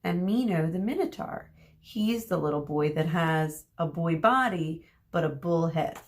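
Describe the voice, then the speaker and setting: A woman reading a children's story aloud expressively as a teacher, pausing to explain words and ask questions. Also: low-pitched.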